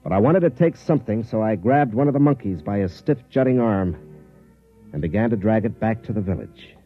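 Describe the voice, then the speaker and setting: A man's voice: on the low side, lively intonation, fairly quick, faint music behind, lightly acted. An actor playing a weary, stubborn soldier on a colony world, narrating his story in plain, grim first person, in 1950s radio drama style. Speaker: narrator and lead character. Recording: dull old radio broadcast.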